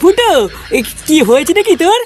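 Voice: very high-pitched, expressive, brisk, background noise. A performer voicing a brash village lad in a comedy cartoon, blunt and casual, talking like one of the gang. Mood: puzzled. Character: brash, swearing older village lad, a boy's friend.

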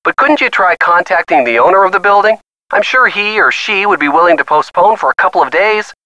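A man's voice: high voice, expressive, fairly quick, bright, forceful tone, no room sound, lo-fi sound. A man playing a supportive, easygoing young boyfriend with a wry, teasing manner in a detective video game. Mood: thoughtful, supportive. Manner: teasing, encouraging. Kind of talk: video game dialogue acting, phone conversation.

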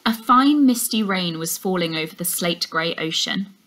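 A young woman reading a children's novel aloud as a teacher, in an expressive storytelling style. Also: fairly low pitch, normal speed. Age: young adult. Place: furnished indoor room.

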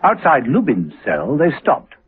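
A man narrating a radio spy thriller in a scripted, measured, suspenseful manner. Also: fairly high pitch, huge pitch swings, crowd noise. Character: male storyteller of a spy thriller. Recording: muffled, lo-fi radio recording.

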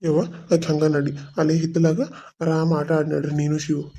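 A man's voice: on the high side, completely flat delivery, gravelly, dark tone. A man with a North Karnataka Kannada accent, playing a weary village uncle who frets and sounds fed up. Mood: weary fretting. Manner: fretful, fast, fed-up.

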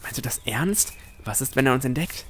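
Ein Mann spielt einen vorsichtigen jungen Entdecker, der sein Fantasy-Abenteuer bedacht und ernsthaft in der Ich-Form erzählt. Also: male voice, mid-range voice, animated, fairly quick, slight background noise, lightly acted. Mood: wary. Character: cautious, thoughtful young male explorer narrating his adventure. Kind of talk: first-person scripted narration in fantasy audio drama.

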